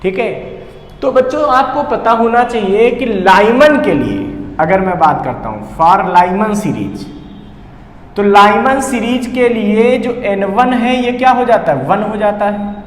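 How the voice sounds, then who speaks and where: A man with an Indian accent teaching physics step by step, asking questions and answering them himself, with English technical terms mixed in. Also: high-pitched. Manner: mixes English technical terms into his talk. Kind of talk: step-by-step problem-solving lesson. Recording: echoey reverberant room, faint background noise.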